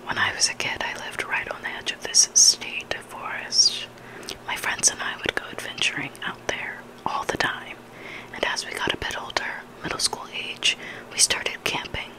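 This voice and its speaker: An ASMR creator whispering scary stories in a soft, unhurried narration, phrased for dramatic storytelling. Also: mid-range voice, rough recording. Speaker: ASMR creator reading listener horror stories.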